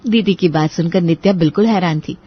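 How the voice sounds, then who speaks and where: A woman's voice: on the low side, fairly quick, slightly rough, muffled recording. A woman narrating a scripted story expressively.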